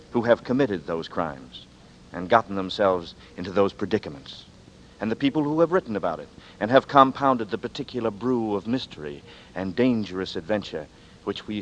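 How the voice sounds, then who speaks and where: A man hosting a radio drama, giving a measured, conversational scripted introduction. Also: fairly low pitch, a little hiss or hum. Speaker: host and star. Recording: dull vintage radio recording.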